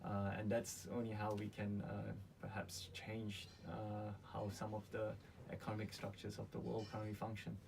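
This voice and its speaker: A man from Malaysia speaking as a guest in a casual interview, earnest and halting in his delivery. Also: on the low side, completely flat delivery, vocal fry, darkish tone. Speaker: interview guest, company founder. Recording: distant mic, faint background noise.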